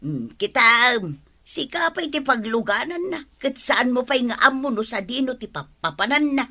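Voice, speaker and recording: A woman's voice: a little high, expressive, normal speed, sharp tone, full character voice. A woman with an Ilocano accent playing the assured madam of a village charity in a radio comedy drama. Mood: dismissive.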